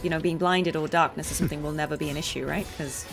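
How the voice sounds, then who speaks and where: A woman's voice: low-pitched, fairly even intonation, quick pace, gravelly, music in the background. A young woman, a voice actor, chatting casually while streaming a game, thinking aloud with lots of fillers.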